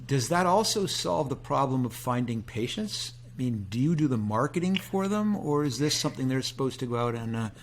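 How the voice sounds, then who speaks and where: A man hosting a podcast interview, asking questions in a relaxed, folksy way with plenty of fillers. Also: mid-range voice, a little fast, hardly any pauses, a little hiss or hum. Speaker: podcast host. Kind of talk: conversational interview.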